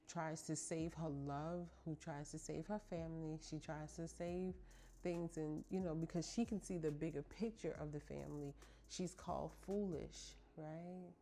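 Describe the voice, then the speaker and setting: Middle-aged woman podcast host, low warm voice, chatty and emphatic, speaks straight to her listeners. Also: slight background noise. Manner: chatty and emphatic, addresses listeners as ladies and y'all. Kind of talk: casual heartfelt monologue to listeners.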